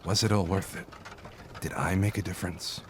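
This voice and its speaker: Man acting as a very deep-voiced, humble cart driver. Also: fairly even intonation, normal speed, background noise, natural voice. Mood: reflective, earnest. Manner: formal, deferential, reflective. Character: weathered male cart driver, humble, courteous, philosophical. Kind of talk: fantasy audio drama acting.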